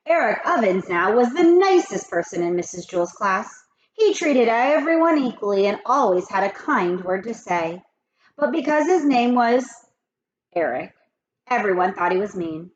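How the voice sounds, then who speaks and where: Lively woman reading a storybook aloud. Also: medium pitch, unhurried, dull audio, slight background noise. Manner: lively, expressive, addresses kids directly. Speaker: teacher reading to children. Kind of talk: children's read-aloud with asides.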